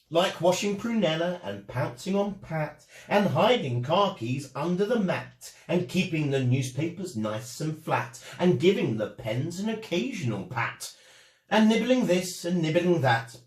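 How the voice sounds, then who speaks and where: A man telling a rhyming children's story as an expressive storyteller. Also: fairly high pitch. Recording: echoey room.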